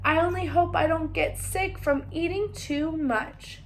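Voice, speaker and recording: A woman's voice: extremely high pitch, steady tone, unhurried, slight background noise, voice pushed up, low-quality recording. A woman voicing a small, anxious, insistent hen from a children's storybook, fretful and full of exclamations. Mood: worried.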